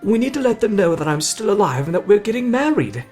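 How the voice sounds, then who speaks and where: A brash young hero acted out by a man speaks high and animated, with playful drama. It is an ASMR roleplay aimed straight at the listener, with music behind the voice. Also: fast. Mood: eager, playful.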